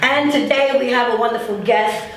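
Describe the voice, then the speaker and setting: A woman's voice: on the high side, normal speed. A woman hosting a conversational interview show, introducing and questioning a guest. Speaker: interview host. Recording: echoey room, distant microphone.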